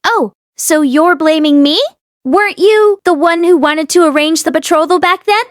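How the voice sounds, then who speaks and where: A woman's voice: extremely high pitch, moderate pace, no room sound, studio quality, voice pushed up. A woman reading an audiobook, voicing a domineering, scheming middle-aged wife with an insistent, calculating manner. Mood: indignant, anxious.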